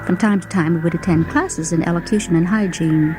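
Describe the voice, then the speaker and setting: A woman narrating a first-person short story in a dramatic, expressive, theatrical manner. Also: on the low side, fairly quick. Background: music.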